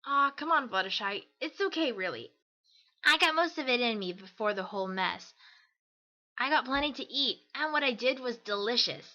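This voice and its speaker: A woman's voice: fairly high pitch, expressive, normal speed, bright, forceful tone, lo-fi sound. A woman voicing an upbeat, brash but caring female pegasus pony for cartoon fan fiction, with a casual, breezy manner. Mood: reassuring, enthusiastic.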